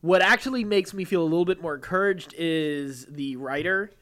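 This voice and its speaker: Higher-voiced man hosting casual podcast chat. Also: moderate pace, a bit bright. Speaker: podcast host. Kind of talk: casual nerd-news chat with some read-out news items.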